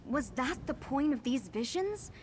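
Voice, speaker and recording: An actress playing an earnest young woman with hidden powers in a video game, speaking gravely. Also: sits high, big pitch swings, unhurried, background noise. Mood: searching. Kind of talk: video game dramatic acting.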